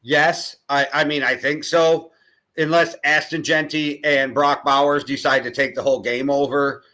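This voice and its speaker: An American man co-hosting a sports podcast, telling stories and giving picks in a relaxed, hedging way. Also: a little high, moderate pace. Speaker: podcast co-host. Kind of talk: casual sports chat.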